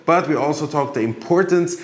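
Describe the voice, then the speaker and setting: A man's voice: on the high side, a little fast. A man hosting an interview show, introducing his guest with enthusiasm and asking long, filler-heavy questions. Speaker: show host. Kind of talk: interview host, scripted intro. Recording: echoey room.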